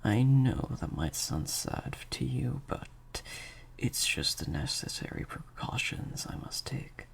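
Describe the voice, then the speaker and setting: A man playing a slightly sadistic, controlling vampire master in an intimate improvised audio roleplay, hushed and deliberate, with long pauses. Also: medium pitch, sing-song, a little hiss or hum, heavily acted. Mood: stern, condescending. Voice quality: breathy, creaky half-whisper. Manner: slow, deliberate, hushed delivery with drawn-out pauses.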